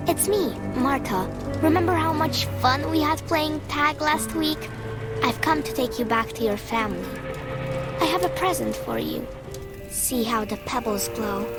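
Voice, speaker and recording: A woman voicing an enchanted little girl in a fairy-tale game, sweetly persuasive and sinister, with formal wording. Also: high voice. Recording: loud music over the voice.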